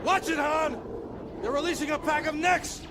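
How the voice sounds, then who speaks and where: An actor playing a spirited, vigilant rebel adventurer in a sci-fi audio drama. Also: male voice, very high-pitched, steady tone, moderate pace, heavy background noise. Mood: alarmed.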